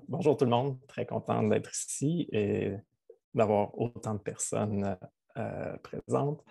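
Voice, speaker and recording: A Québécois man presents a teaching webinar over a video call, halting and full of fillers. His voice is low, dark and gravelly with a husky edge. The sound is dry and close. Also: dry recording. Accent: Québécois French. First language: French. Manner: hesitant, many fillers.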